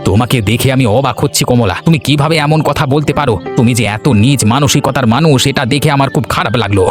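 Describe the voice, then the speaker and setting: A man's voice: medium pitch, fast, faint music behind, natural voice. A man voicing an honest, bewildered, henpecked village husband in a cartoon, plain-spoken and protesting. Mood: indignant. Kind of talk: Bengali cartoon voice acting.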